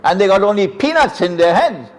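A man's voice: high voice, sing-song, a little fast. Emphatic older Trinidadian man lecturing. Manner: emphatic, rhetorical questions, sweeping hand gestures. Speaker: Islamic lecturer. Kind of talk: lecture to a seated audience. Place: room with green chairs, indoors. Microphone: stand mic close in front.

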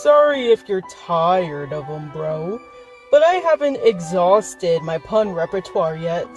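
A slow, drawling skeleton guy with a teasing streak, played by a woman. He is casual and jokey, acted for an audio drama over music. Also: on the low side, very animated, a little mellow. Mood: lazily teasing. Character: laid-back, teasing male skeleton who loves puns. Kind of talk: fan audio drama acting.

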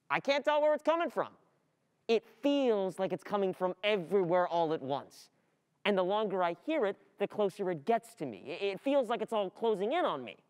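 A performer plays a distracted, frustrated student in a dramatic radio play monologue. The voice is clear and medium-pitched, agitated and repetitive, with anxious thoughts that halt and then spill out. Long hall reverb surrounds it. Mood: panicked.

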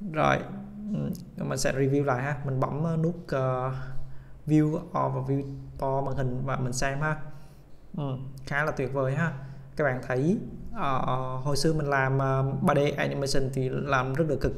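A man hosting a casual software tutorial, speaking haltingly with many hesitation sounds and English tech terms mixed in. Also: medium pitch, vocal fry. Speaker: tutorial host.

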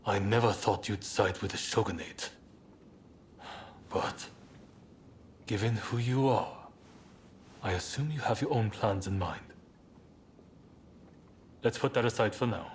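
A man playing a composed, courteous samurai rebel leader in a video game, with formal, measured phrasing. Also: on the low side, a little hiss or hum, lightly acted. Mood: guarded. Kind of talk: dramatic video game voice acting.

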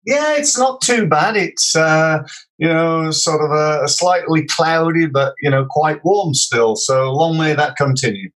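A man's voice: fairly high pitch, expressive, unhurried, fairly bright tone, poor audio. A middle-aged man with a Yorkshire accent, telling his life story as a podcast guest, hesitant with many fillers and restarts. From Sheffield, England. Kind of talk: casual interview storytelling.

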